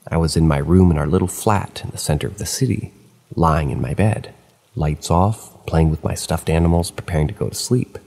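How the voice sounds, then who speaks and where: A man reading first-person stories aloud at a steady pace, slipping in dry asides of his own. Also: deep voice, normal speed, long reverb tail. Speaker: narrator of online horror stories.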